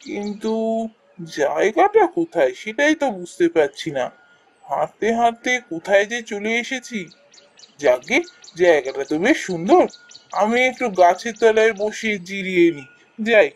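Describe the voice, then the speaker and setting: A man's voice: high voice, very animated, moderate pace. A man voicing a good-natured, worried young village cowherd in a cartoon, musing expressively with a Bengali accent. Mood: content. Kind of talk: cartoon voice acting, thinking aloud.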